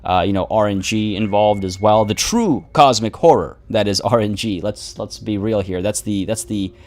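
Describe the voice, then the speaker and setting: A man live-streaming a game, chatting casually and rambling with many restarts, his voice a little rough from the morning. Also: fairly low pitch, normal speed, a little mellow.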